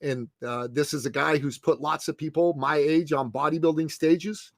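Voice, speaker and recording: A man's voice: on the high side, fairly even intonation, normal speed, creaky, no echo, studio quality. A man in his late fifties, a success coach, talking in a podcast interview in an emphatic, punchy way. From Middle East. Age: 50s. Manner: emphatic, punchy, calls host "brother" and "man".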